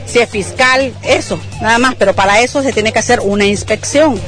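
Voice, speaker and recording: A woman representing a government agency, explaining procedure in a measured way outdoors. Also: fairly high pitch, a bit bright, soft background music. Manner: measured, repeating herself. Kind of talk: official explanation in interview.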